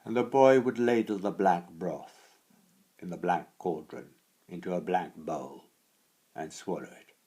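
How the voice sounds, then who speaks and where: A man reading a dark storybook tale in a theatrical manner, moving between hushed and swelling phrases. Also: low-pitched, measured pace. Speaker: author reading his own story. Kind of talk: dramatic storybook narration.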